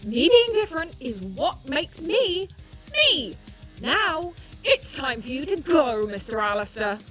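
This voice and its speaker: Chirpy oddball teen guardian, high and swooping, music underneath. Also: female voice, big pitch swings, slow pace, a bit bright, exaggerated voice. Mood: sincere defiance. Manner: curious, perky. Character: quirky chirpy teenage hero, cheerfully sincere. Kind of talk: superhero audio drama acting.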